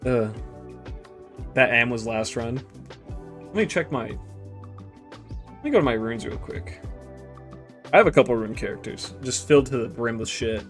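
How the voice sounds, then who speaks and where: A man's voice: medium pitch, animated, unhurried, pauses often, fairly bright tone, faint music behind. A man in his twenties chatting casually on a game stream, rambling and joking crudely, often trailing off into mutters. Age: 20s. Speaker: gaming streamer. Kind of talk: casual stream chat while gaming.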